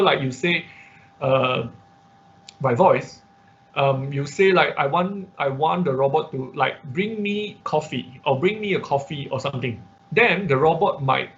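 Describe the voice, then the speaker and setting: Creaky-voiced male instructor, dull noisy audio. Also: on the high side. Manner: halting, repetitive, checks understanding with "okay" and "right". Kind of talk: live online tutorial, talking through commands step by step. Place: online class from a computer. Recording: dull lo-fi audio with background noise.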